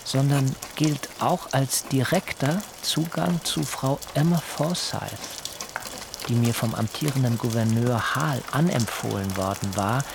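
An earnest idealist traveller of colonial days in a radio drama. His mid-range voice is formal and courteous, hesitant and a little fussy. Clear ambient noise sits behind him. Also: medium pitch, unhurried. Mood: composed, recounting. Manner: hesitant, polite, formal storytelling. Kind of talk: radio drama acting and first-person narration.

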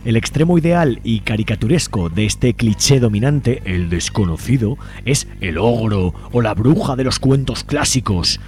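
A man's voice: medium pitch, expressive, normal speed, a little mellow. A man Spain, presenting a live community radio show as its host a studio microphone. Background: music.

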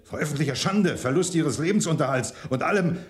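An actor playing a smug, jovial, cynical schemer, theatrical and goading, in a German radio crime play. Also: male voice, fairly high pitch, animated, fairly quick, lightly acted. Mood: smug, needling.

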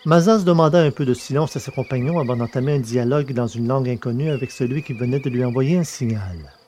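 A man with a medium-pitched voice works as podcast host and narrator. He reads prepared text in a scripted storytelling style. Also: fast talker, dark tone, a little hiss or hum.

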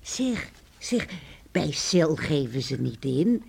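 A woman's voice: sits low, sing-song, slow pace, gravelly, a little mellow, breathy edge, full character voice. An actress playing a teasing elderly village neighbour in a radio play, chuckling and drawing out her words. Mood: gossipy.

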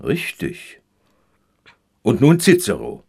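An actor playing a learned, fair-minded Byzantine scholar in a German radio play, formal and authoritative. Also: male voice, medium pitch, huge pitch swings, slow pace, gravelly, a little mellow, husky, exaggerated voice. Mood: examining.

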